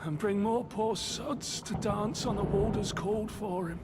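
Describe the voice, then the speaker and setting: An actor playing a worn-down, fearful Victorian prisoner in a video game. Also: male voice, high-pitched, a little slow, very noisy. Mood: bleak dread.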